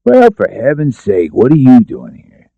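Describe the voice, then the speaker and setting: A man voicing a stern, insistent police lieutenant with a gruff, official manner in an audiobook. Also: medium pitch, huge pitch swings, unhurried, no echo, phone-quality sound, voice pushed up, heavily acted. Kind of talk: audiobook character dialogue.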